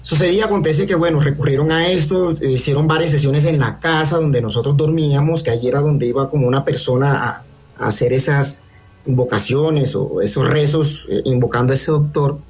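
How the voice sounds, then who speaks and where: A man in his thirties with a coastal Colombian accent, calling in to tell a personal ghost story, halting with many restarts and fillers. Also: fairly high pitch, darkish tone, husky. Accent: Colombian coastal Spanish. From Colombian Caribbean coast. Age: 30s. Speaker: caller.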